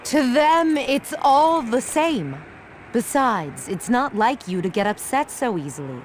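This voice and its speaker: An actress playing a composed, shrewd warrior woman in a video game, measured and politely probing. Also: fairly high pitch, expressive, a little hiss or hum. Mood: calm, pointed. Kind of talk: dubbed video game cutscene acting.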